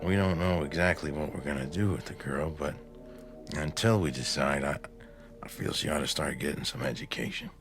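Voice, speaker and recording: An actor playing a gruff, practical, stubborn small-town sheriff in a radio drama, blunt and plain-spoken. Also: male voice, extremely low pitch, moderate pace, music in the background. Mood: matter-of-fact. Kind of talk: vintage-style radio drama acting.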